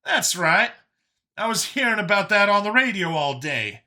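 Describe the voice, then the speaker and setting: Man acting out high-pitched, excitable folksy survivor. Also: normal speed, heavily acted. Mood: wary, matter-of-fact. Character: older man in youthful body, eager scrappy fugitive survivor.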